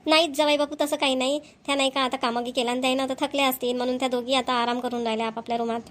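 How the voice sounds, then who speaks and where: Cartoon mother-in-law played by a woman, doting and grumbly, folksy Vidarbha Marathi, rapid delivery over background noise. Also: high voice, little pitch movement, lightly acted. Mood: placating. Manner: complaining, folksy, repetitive. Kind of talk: family comedy cartoon dialogue.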